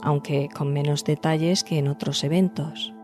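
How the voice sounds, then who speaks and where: A woman hosting and narrating a scripted storytelling podcast, addressing listeners directly in measured phrasing. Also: deep voice, steady tone, darkish tone. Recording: music behind the voice. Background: background music.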